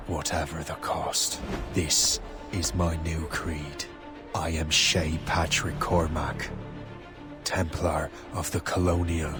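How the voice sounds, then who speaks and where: Grim, wiser older Templar in a game drama; very low voice, slow solemn delivery, score underneath. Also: male voice. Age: middle-aged. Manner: solemn, measured. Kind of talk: dramatic video game narration.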